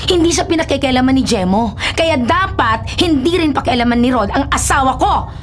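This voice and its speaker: A woman playing an outlaw's resentful, defensive wife in a radio drama, sharp-tongued and accusing. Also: high voice, expressive, a little fast. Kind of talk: Filipino radio drama acting.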